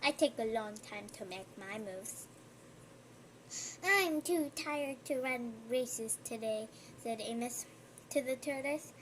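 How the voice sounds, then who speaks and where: Woman, high and light voice, unhurried storybook reader who breaks off to chat with the listener. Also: a little hiss or hum. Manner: slow, gentle reading with chatty asides to the listener. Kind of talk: reading a picture book aloud.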